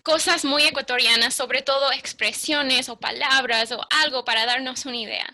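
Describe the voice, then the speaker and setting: A woman's voice: high-pitched, steady tone, moderate pace, pushed and bright. A woman interviewing a guest, asking curious questions and offering short replies. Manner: curious, brief backchannel replies.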